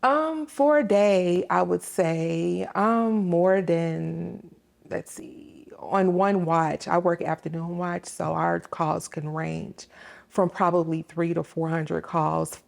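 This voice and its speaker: A woman with a lowish voice and a strong creak answers as an interview guest. She explains her dispatch work conversationally, pausing to think. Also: fairly even intonation.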